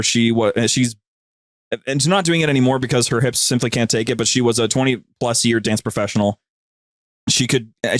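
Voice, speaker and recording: Podcast guest, young adult male, mid-pitched, dry close recording, loose slangy storyteller with animated delivery. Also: no echo. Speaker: podcast guest, audio drama creator. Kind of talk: casual interview chat.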